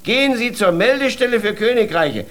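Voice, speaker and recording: A man's voice: high-pitched, expressive, brisk. A whimsical, theatrical father who takes himself for a king. It is children's radio drama, earnest in tone, with a voice that rises and falls freely. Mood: grandly confident. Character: whimsical poor father playing at being king. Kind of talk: children's radio play acting.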